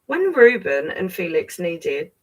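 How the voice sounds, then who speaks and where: A woman's voice: lowish voice, sing-song, takes it slowly, slightly rough, recorded from a distance, rough recording. A woman reading a picture book to young children, playfully breaking off for chatty asides and questions to the listeners. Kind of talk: read-aloud story time with chatty asides.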